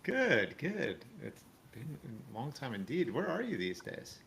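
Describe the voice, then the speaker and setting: A man's voice: on the high side, huge pitch swings, unhurried, vocal fry, a bit bright. A man hosting a casual livestream, friendly and chatty, laughing often. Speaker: livestream host. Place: home, now living in Spain.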